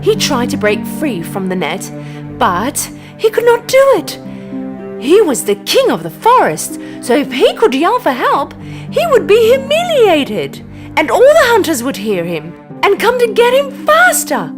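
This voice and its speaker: A woman reading a scripted children's bedtime story as an expressive narrator. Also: very high-pitched, unhurried, over music.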